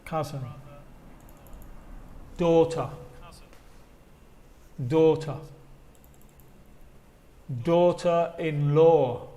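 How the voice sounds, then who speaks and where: A man's voice: a little high, fairly even intonation, very slow, pauses often, mellow. A British man whose first language is English, teaching an English lesson in Spanish, speaking patiently and repeating each word twice. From Britain.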